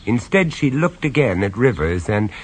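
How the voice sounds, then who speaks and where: A man narrating a radio horror story in the first person, measured and dramatic, with dry sarcasm when he speaks to the others. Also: medium pitch, big pitch swings, slightly rough. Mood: grave. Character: grave, wry male storyteller on a castle tour.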